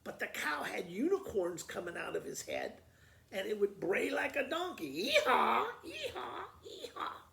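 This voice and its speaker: A woman reading a storybook aloud as a school principal, retelling it in a halting, informal, folksy way. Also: medium pitch, very animated, creaky. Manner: halting, informal, self-correcting. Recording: roomy echo with faint background noise.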